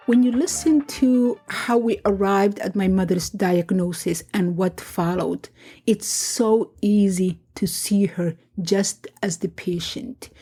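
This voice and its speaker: Middle-aged woman, warm low-key tone, reflective memoir narration. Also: breathy edge. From Somalia. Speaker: podcast host and narrator. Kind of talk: personal memoir storytelling.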